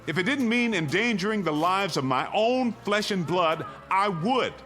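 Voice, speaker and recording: A man's voice: high voice, expressive, normal speed, a bit bright. A male actor in a radio drama playing a stubborn, anguished doctor and father, speaking with emphatic resolve. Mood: anguished refusal. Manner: emphatic, declamatory.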